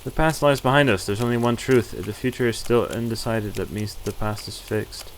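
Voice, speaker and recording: A man's voice: medium pitch, steady tone, a little fast, slight background noise, poor audio. A man streaming a visual novel, reading the narration aloud casually, with stumbles and asides. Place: at home, streaming. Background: faint game music.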